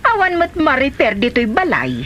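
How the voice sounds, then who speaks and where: A woman's voice: sits high, huge pitch swings, normal speed, fairly bright tone, slight background noise, exaggerated voice. A woman acting as a touchy, gossipy wife and mother in an Ilocano comedy drama. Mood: wary. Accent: Filipino, Ilocano.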